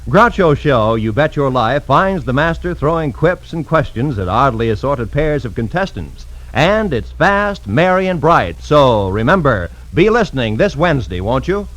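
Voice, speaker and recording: A man's voice: mid-range voice, sing-song, moderate pace, hardly any pauses, a bit bright, low-quality recording. A man with an American accent reading scripted 1950s radio announcements in a polished, emphatic, formal way. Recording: old radio broadcast, music behind.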